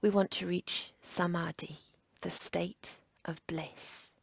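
Slow, breathy, creaky woman guiding meditation. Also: lowish voice, fairly even intonation, dry recording. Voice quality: breathy, husky near-whisper. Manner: measured, soothing instruction in short phrases. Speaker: yoga nidra instructor. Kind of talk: guided relaxation meditation.

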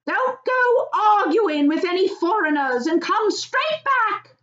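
A woman voicing a fussing farmer's wife with a high, scolding voice, on slightly dull audio. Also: lively intonation, slow, fairly bright tone, reverberant, full character voice. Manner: fussy, scolding.

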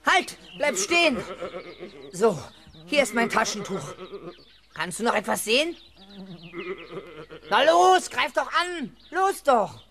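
A man's voice: very high-pitched, creaky, a bit bright, full character voice. An actor playing a boastful, eager little boy who announces grandly and bossily in a 1970s children's radio play.

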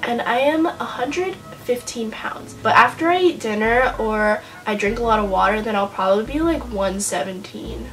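A woman's voice: a little high, moderate pace, few pauses, a bit bright, faint music behind, rough recording. A teenage young woman with an American accent chatting casually to camera in an informal, self-deprecating way full of slang. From Honolulu, Hawaii, United States. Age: teenager, about 16 to 17. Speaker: vlogger. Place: small bedroom, indoors.

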